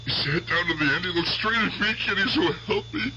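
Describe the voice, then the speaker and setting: A man's voice: sits high, fairly quick, sharp tone, slight background noise, rough recording. An American actor playing a tormented, aging tough-guy gangster in a radio drama, with agitated, repetitive, slangy speech. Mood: shaken, pleading. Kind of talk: 1970s radio drama acting. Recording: old radio drama with music behind.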